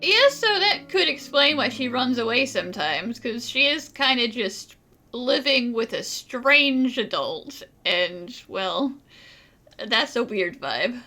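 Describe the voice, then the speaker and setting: Lively, bright-voiced woman gamer. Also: on the high side, slow, soft background music. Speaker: gamer commentator. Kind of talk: casual off-the-cuff game commentary.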